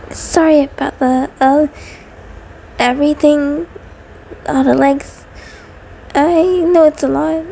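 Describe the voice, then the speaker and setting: A woman's voice: sits high, steady tone, very slow, gravelly, pauses often, warm tone, slight background noise, exaggerated voice, poor audio. A woman playing an anxious, affectionate female centipede monster, nervous, stammering and apologetic, in an intimate roleplay audio drama. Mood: tender, sheepish.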